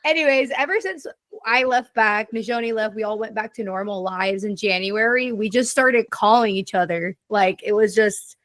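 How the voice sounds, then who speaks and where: A woman's voice: mid-range voice, normal speed, fairly bright tone, no room sound. A woman in her twenties co-hosting a casual podcast, rambling, laughing often and talking over the others. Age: 20s. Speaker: podcast co-host. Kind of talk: casual chat.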